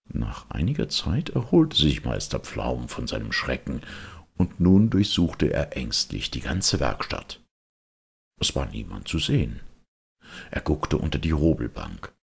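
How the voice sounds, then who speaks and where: Man, audiobook storyteller, slow, husky, theatrical, deliberate, children's tale narration. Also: extremely low pitch.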